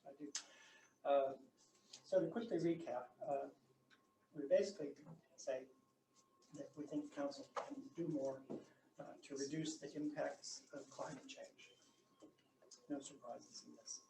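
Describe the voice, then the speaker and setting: A soft-spoken man with a New Zealand accent, giving a formal submission to a council hearing with many fillers and restarts. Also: on the high side, steady tone, a little slow, pauses often. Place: council chamber. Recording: echoey room, distant mic, noisy.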